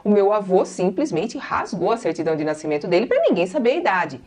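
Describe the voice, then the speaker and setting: Woman, 40s, Brazilian Portuguese accent, teacher, patient, repeats each example slowly, mid-pitched voice. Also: big pitch swings, darkish tone. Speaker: English teacher. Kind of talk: classroom-style explanation.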